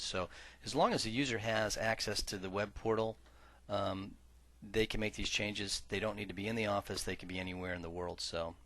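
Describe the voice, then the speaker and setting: A man working as a software trainer, narrating an instructional screen-share tutorial in a measured, explanatory way that stresses key words. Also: lowish voice, no echo. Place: voice-over for a screen recording.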